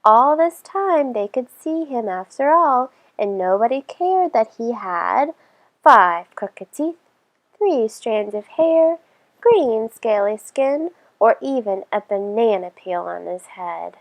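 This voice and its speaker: A woman reading a children's story aloud in an expressive storytelling style. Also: on the high side, takes it slowly.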